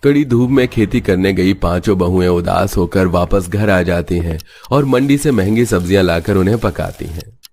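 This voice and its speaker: A man's voice: sits low, moderate pace, a little mellow. A man narrating a cartoon story from a script.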